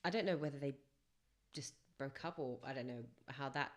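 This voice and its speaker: Brief: young female singer, low-pitched, chatty and reflective podcast guest, loose and rambling. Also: normal speed. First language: English first language. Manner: chatty, reflective, rambling with fillers and self-corrections. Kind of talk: casual podcast interview storytelling.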